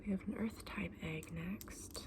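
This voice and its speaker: A woman playing a friendly, knowledgeable fantasy egg saleswoman in an ASMR roleplay, speaking softly and often in a breathy whisper, gently explaining things to a customer. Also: lowish voice, measured pace, low-quality recording. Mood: helpful, soft.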